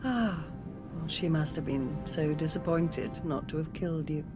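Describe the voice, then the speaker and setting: A low, taunting villainess. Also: female voice, measured pace. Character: silky, taunting female villain. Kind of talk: video game cutscene dialogue. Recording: loud background music.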